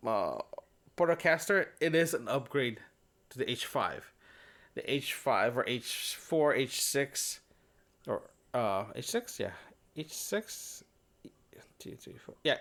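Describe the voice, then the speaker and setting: Male podcast host, mid-pitched voice, loose rambling audio-diary delivery, lots of restarts. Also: a bit bright. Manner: rambling, many restarts and fillers. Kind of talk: casual solo audio diary. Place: apartment room.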